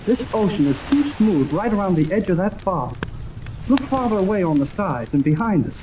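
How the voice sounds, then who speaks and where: An eager young American man with swooping, animated pitch and boyish insistence. He performs in the earnest manner of a 1930s adventure serial, on an old recording full of crackle and hiss. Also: normal speed. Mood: urgent. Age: young adult. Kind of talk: 1930s radio serial acting.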